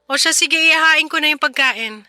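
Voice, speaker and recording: A woman's voice: high-pitched, moderate pace, sharp tone, no echo. A woman playing a tired, impatient but caring single mother, weary and scolding in a radio drama. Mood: weary, brisk. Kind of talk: Tagalog radio drama acting.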